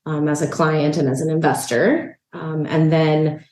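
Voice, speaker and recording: A woman from El Salvador, a real estate agent, talking in a relaxed, chatty interview with lots of "um" and "you know". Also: sits low, measured pace, roomy sound. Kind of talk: casual interview chat. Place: a rental apartment.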